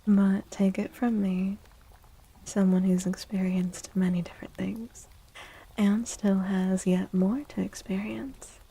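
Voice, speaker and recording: A woman speaking softly and soothingly in a whispery, breathy voice, as an ASMR livestream host. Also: mid-range voice, level tone, slight background noise, low-quality recording. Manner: gentle, soothing, unhurried. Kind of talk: soft-spoken ASMR, tarot reading and chatting with viewers.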